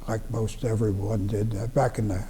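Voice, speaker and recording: A man in his nineties from Ohio, recalling his youth in a slow, halting way with many restarts. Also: on the low side, flat delivery, dark tone, long reverb tail, slight background noise. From Ohio, USA. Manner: halting, plain-spoken, frequent restarts and fillers. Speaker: veteran interviewee. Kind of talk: oral history recollection. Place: library room.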